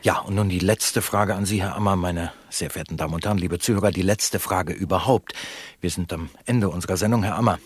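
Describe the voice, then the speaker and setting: A polished, showy male radio presenter in a radio drama. His voice is low and rough-edged, formal and effusive, recorded close and dry. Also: a little fast, dry recording. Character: unctuous, polished male radio show host.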